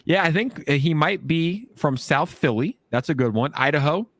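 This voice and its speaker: A man hosting a casual crypto livestream, excitable and jokey, talking straight to his viewers. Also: fairly high pitch, normal speed, a little hiss or hum. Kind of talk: casual chat.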